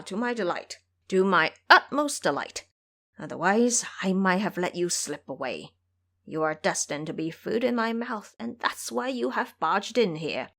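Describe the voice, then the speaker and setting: An old monster king of an audio drama, played by a woman, speaks with commanding menace and gloats over captives. The delivery swings widely in pitch, boastful and imperious. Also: sharp tone.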